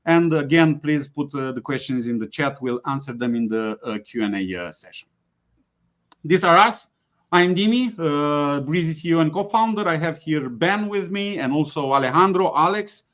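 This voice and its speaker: Halting man hosting a webinar. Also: on the high side, expressive, fairly bright tone. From Romania. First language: Romanian. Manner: halting, frequent uh's and restarts. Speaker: webinar host, company CEO. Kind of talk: hesitant webinar presentation with many fillers.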